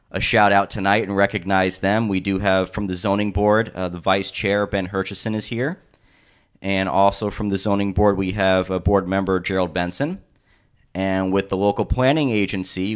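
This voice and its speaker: Guy w a really low voice, city planner kicking off a public workshop on the mic, lots of uhs. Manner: steady, formal, with frequent "uh" and "um". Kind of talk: public meeting welcome remarks. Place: public meeting room.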